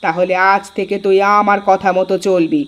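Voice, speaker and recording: A woman voicing a sharp-tongued elder sister-in-law in a village cartoon story. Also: mid-range voice, steady tone, moderate pace, close to an everyday voice. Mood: taunting, challenging. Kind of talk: Bengali cartoon dialogue.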